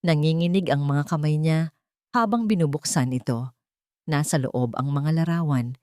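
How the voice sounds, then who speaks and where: A woman narrates a dramatic love story in a low-pitched voice. The sound is dry and close, with a scripted, storybook delivery. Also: normal speed, darkish tone, no room sound.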